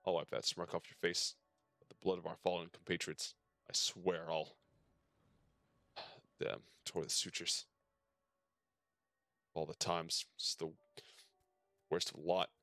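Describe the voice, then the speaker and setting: A man's voice: deep voice, flat delivery, unhurried, gravelly, pauses often, pushed and bright, no echo, soft background music, heavily acted. A man reading out game dialogue as a bitter, vengeful wounded soldier. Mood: vengeful, pained.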